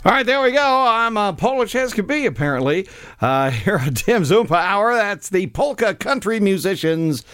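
A man's voice: high-pitched, big pitch swings, moderate pace, fairly bright tone, faint music behind. A man hosting a polka radio show, chatting casually between records, rambling with lots of fillers.

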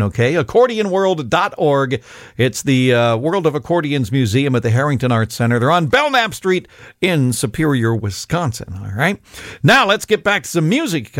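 A man's voice: a little high, dry recording, studio quality. A man with a Minnesota accent hosting a radio music show in a folksy, rambling, conversational way.

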